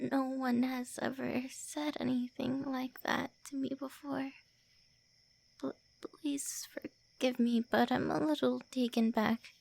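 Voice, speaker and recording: A woman's voice: high voice, gravelly, a little mellow, exaggerated voice. A woman voicing a young-looking female vampire lord who is secretly shy and calls the listener names. She whispers and stammers in an ASMR roleplay. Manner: whispery, stammering, brusque teasing. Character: tsundere female vampire lord, centuries-old, looks early twenties, flustered, insulting.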